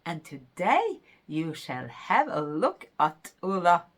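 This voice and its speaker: A woman from Norway with a Norwegian accent, hosting a knitting podcast in English, a second language she speaks haltingly with many pauses and fillers. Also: on the low side, big pitch swings. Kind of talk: casual podcast monologue.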